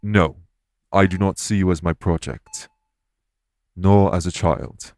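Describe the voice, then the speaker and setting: Man acting a low, solemn elven former king. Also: a little mellow. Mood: calm, earnest. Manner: formal, measured, stately wording. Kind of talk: scripted audio roleplay acting.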